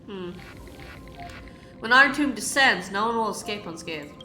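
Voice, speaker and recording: A woman reacting live to a story game as a streamer, blunt, confrontational and quick to swear. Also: mid-range voice, expressive, bright, forceful tone, reverberant, soft background music, poor audio.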